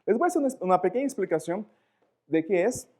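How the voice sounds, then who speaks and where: A man's voice: high voice, quick pace. Portuguese-accented man lecturing animatedly. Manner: animated, searching for words, frequent rhetorical questions. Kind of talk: live lecture to students.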